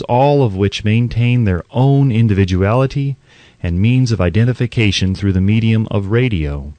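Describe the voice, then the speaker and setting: A man reading a self-help audiobook as scripted narration, with deliberate, emphatic phrasing and pauses. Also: medium pitch, a little mellow, dry recording.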